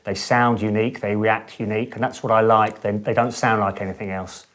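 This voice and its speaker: Relaxed low-voiced English-speaking man. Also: brisk, soft background music. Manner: relaxed, enthusiastic, many fillers and restarts. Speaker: boutique amp studio owner. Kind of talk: casual interview chat.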